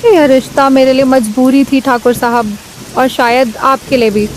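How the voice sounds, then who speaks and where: A woman's voice: high-pitched, steady tone, normal speed, noisy background, close to an everyday voice. A woman playing an earnest, hesitant young village bride in a cartoon story. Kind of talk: dramatised story dialogue.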